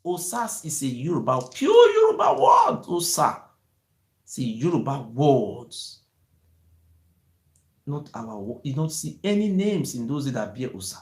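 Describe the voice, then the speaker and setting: A man's voice: a little high, a little slow. Impassioned man in an echoey room. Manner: excited, rising to near-shouting, repetitive "you see". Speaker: channel host. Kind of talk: impassioned monologue.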